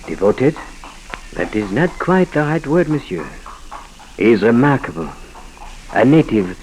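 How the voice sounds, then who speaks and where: A man's voice: mid-range voice, sing-song, measured pace, a little mellow, low-quality recording. A suave, cynical Haitian doctor with a French accent. His voice sits in the middle register, and he speaks formally and courteously in the manner of 1940s radio drama. The old broadcast recording has faint noise. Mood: sardonic, suave. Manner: formal, suave, punctuates speech with courteous address. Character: cynical, smooth Haitian doctor educated in Paris.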